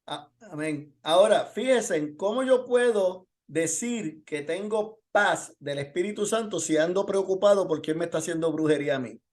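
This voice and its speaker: A man's voice: high-pitched, normal speed, few pauses. A man preaching as a pastor in an informal Bible lesson, telling testimony and posing rhetorical questions.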